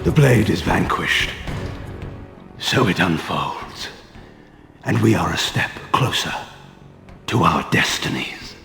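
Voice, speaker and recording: An actor playing a proud, world-weary ancient vampire lord in a video game, speaking in a grandiose, scornful, deliberate way. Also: male voice, deep voice, lively intonation, vocal fry, exaggerated voice. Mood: sinister satisfaction. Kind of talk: theatrical video game cutscene acting. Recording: echoey hall reverb, faint music.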